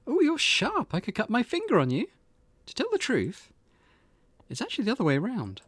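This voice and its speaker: A man's voice: very high voice, wildly swooping pitch, brisk, a bit bright, slightly rough, no room sound, voice pushed up, heavily acted. A man voicing a perky, teasing young woman who loves the occult, playful and eager, in a visual novel read-aloud.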